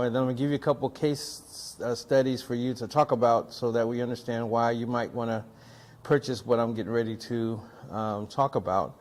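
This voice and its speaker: A man's voice: medium pitch, darkish tone. A man hosting a tech review show, talking casually and in a rambling way, often restarting and repeating himself as he unboxes gear. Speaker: audio and video production technician. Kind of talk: casual product unboxing talk.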